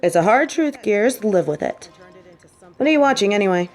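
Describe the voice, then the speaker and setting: A woman's voice: mid-range voice, expressive, darkish tone, soft background music, natural voice. A woman playing a sardonic, vengeful supervillain with a tender side for her partner, in an intimate audio roleplay. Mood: teasing, fond. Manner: teasing, sighing, halting when confessing.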